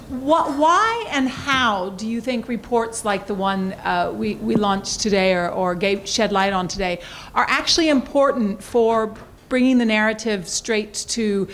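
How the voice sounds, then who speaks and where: A woman in the audience asking the panel a question, quickly and correcting herself as she goes. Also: fairly high pitch, a little hiss or hum.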